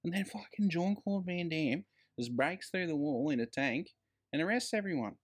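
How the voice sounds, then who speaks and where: A man's voice: fairly high pitch, expressive, moderate pace. A man co-hosting a casual film review chat, emphatic and slangy, with plenty of swearing. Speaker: podcast co-host.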